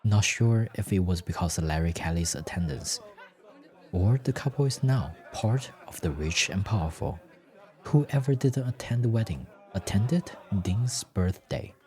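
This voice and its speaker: A man's voice: fairly low pitch, darkish tone. A man reading scripted fiction as a story narrator.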